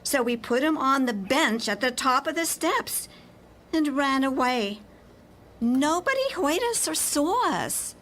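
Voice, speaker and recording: A woman acting a slow, earnest confessing wife. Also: high-pitched, very animated, fairly bright tone, exaggerated voice. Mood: earnest, confessional. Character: anxious wife explaining how she dumped a body. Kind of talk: radio play crime story acting.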